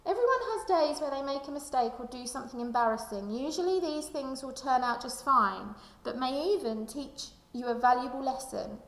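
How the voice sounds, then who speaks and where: In a very reverberant room, a woman reads to children like a primary teacher. Her voice sits fairly high with a creaky edge, and she addresses her young listeners directly. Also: expressive, normal speed, few pauses. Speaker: primary school teacher reading aloud to children. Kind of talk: expressive children's storybook read-aloud with reflective questions. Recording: echoey room with long reverb.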